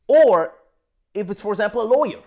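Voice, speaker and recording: A young man from Austria talking straight to camera in a casual, animated monologue, swearing a lot and swinging between loud bursts and soft asides. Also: high-pitched, fairly quick. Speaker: vlogger.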